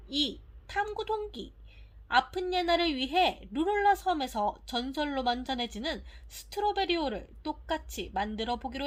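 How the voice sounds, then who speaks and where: A woman narrates a children's storybook in a very high voice. She reads slowly and carefully, with an expressive manner. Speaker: audiobook narrator.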